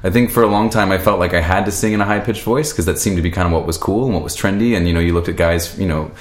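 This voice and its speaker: A young man, a singer, talking loudly and easily in a casual interview, laughing often. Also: very fast. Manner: loud, booming and laughs easily. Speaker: singer guest. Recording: echoey room.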